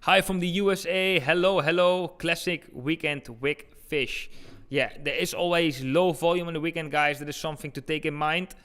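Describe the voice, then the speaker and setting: Dutch guy w eastern netherlands accent, bright forceful clean voice, livestreaming chart talk and chatting to viewers. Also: fairly high pitch, steady tone, normal speed, clear, resonant tone. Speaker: crypto trading livestream host. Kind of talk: live chart commentary and chat with viewers.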